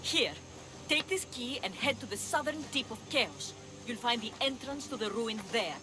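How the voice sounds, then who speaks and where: A woman's voice: on the high side, expressive, moderate pace, close to an everyday voice, rough recording. A woman playing a scheming, boastful seafaring treasure hunter in a video game, theatrical and sly. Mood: sly, eager. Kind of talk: video game cutscene acting.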